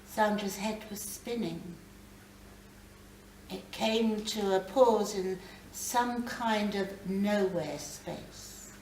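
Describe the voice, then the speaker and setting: A woman reading literary fiction aloud live, with measured, dramatic phrasing and pauses. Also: mid-range voice, darkish tone. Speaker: reader of a short story. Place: library reading event, indoors. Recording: roomy, distant mic, faint background noise.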